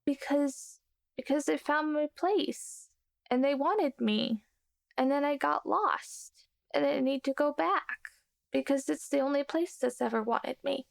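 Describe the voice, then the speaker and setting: Woman as a scared stubborn young girl in a tabletop game, high creaky voice, plaintive. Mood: insistent, hurt. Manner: halting, plaintive, insistent.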